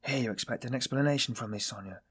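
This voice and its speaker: A man playing a weary, self-loathing man in audiobook dialogue, confessing in a brooding, bitter, halting manner. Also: mid-range voice, husky. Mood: anguished.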